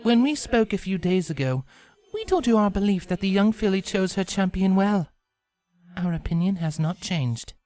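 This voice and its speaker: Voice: medium pitch, lively intonation, warm tone, soft background music, voice pushed up, close to an everyday voice. Narrator voicing a solemn, regal pony princess. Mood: regal, gentle. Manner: formal, measured, grave, archaic wording. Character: regal, solemn princess pony of dreams, archaic royal-we speech.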